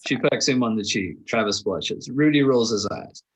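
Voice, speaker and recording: A man with a husky voice narrating a script's stage directions at a table read. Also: medium pitch, moderate pace.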